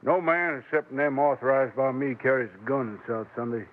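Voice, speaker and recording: Actor as folksy frontier sheriff, tinny radio. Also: male voice, mid-range voice, steady tone, fairly quick, close to an everyday voice. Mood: firm, folksy. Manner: folksy, authoritative. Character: self-satisfied Old West sheriff, folksy but controlling. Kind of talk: 1950s radio western acting. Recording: tinny vintage radio recording.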